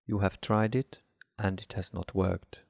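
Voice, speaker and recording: Slow, low-voiced man guiding hypnosis. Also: flat delivery, vocal fry, darkish tone, dry recording. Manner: measured, instructive, deliberate phrasing with pauses. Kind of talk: guided hypnosis relaxation, scripted narration.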